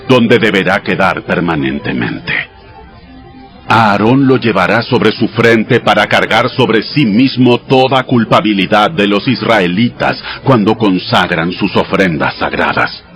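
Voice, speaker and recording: A deep, solemn God giving instructions. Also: male voice, a bit bright, exaggerated voice. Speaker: Bible audio drama voice actor. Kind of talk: dramatized scripture reading. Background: music behind the voice.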